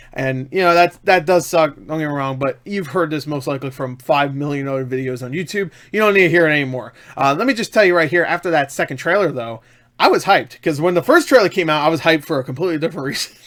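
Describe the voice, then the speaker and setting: Male online film reviewer, slightly high voice, enthusiastic, jokey, loose and rambling delivery. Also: a bit bright. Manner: enthusiastic, jokey, self-interrupting asides. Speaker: online movie reviewer and host. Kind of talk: casual, rambling movie review to camera. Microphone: webcam setup.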